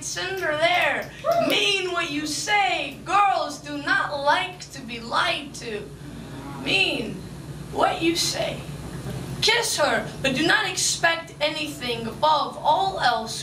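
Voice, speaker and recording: A young woman in her twenties performing spoken word poetry on a small club stage with rhythmic, emphatic delivery. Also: high voice. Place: small club stage under red lights. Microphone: stage mic on stand. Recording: roomy live sound from a distance, noisy.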